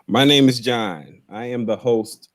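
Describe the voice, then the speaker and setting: A man's voice: mid-range voice, unhurried. A man hosting a live podcast over a video call, talking loosely and repeating himself as he rambles. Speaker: podcast host. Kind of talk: casual live-stream chat.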